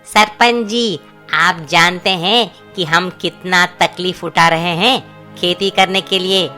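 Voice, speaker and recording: A woman voicing a distressed farming villager who complains and pleads, in an animated moral story. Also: fairly low pitch.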